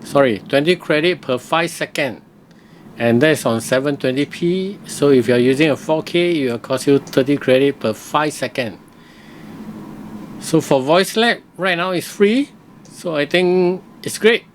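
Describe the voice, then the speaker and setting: A man giving casual screen-recorded software review commentary, thinking aloud in simple, hesitant phrases. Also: on the high side, measured pace, a little hiss or hum.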